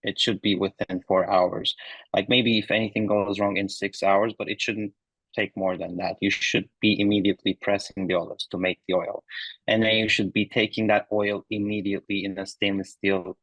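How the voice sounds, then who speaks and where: A man in his thirties from Turkey, answering interview questions in a relaxed way with many ums and uhs. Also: fairly low pitch, moderate pace, no room sound, rough recording. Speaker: founder and guest. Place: remote recording.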